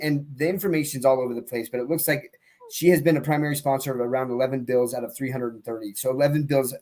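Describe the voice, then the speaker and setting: A man's voice: mid-range voice, darkish tone. A man on a casual podcast panel who rambles with many restarts and gets heated as he makes his point. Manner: rambling, restarts often, heated about politics.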